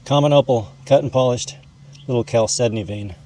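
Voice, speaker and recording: A man's voice: mid-range voice, fairly quick, background noise. A man hosting an informal educational video, explaining and showing things in a folksy, conversational way. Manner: folksy, calls objects "this guy". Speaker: host, mineral hobbyist educator.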